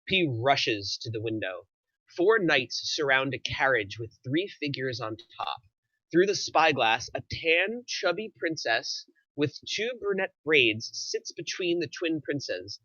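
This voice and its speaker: Bright, forceful male script narrator. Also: medium pitch, sing-song, unhurried, no echo, muffled recording. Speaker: narrator reading stage directions. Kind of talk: scripted narration at a film table read.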